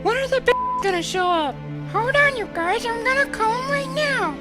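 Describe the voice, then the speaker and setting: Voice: very high-pitched, lively intonation, normal speed, loud music behind the voice. A voice actor playing a crude, bossy teenage boy throwing a party, talking in brash slang. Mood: hyped.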